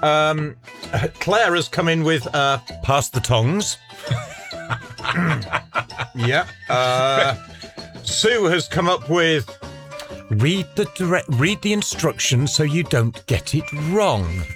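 A man's voice: fairly high pitch, animated, slow pace, pauses often, music in the background. A man in his sixties, co-hosting a radio show with hesitant, chuckling banter full of fillers. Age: 60s. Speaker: radio co-host. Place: radio studio.

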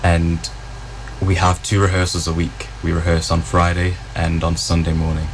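Very deep, flat-voiced young man. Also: slight background noise, poor audio. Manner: calm, flat, measured, matter-of-fact. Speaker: vocal group arranger. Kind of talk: interview answers.

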